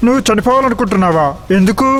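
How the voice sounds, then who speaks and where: A man voicing a concerned forest stranger who gives advice in an animated moral story. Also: sits high, very fast, in a large hall, slight background noise. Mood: concerned, advising. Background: faint music.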